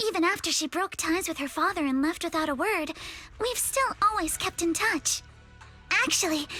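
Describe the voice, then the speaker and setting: A woman's voice: extremely high pitch, bright, forceful tone. A woman playing a polite, formal young heiress with careful, proper phrasing in an anime-style game.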